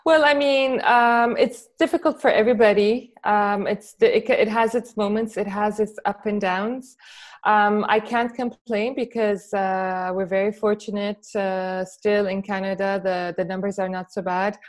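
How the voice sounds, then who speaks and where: A woman's voice: mid-range voice, fairly even intonation, unhurried. Reflective woman from Lebanon answering questions. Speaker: interview guest, documentary director and producer. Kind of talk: spoken interview answers in a film Q&A.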